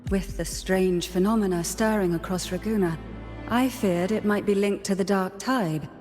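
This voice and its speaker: A woman voicing a composed, solemn noblewoman in a video game, measured and formal. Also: mid-range voice, darkish tone, natural voice. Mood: grave. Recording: hall reverb, faint music behind.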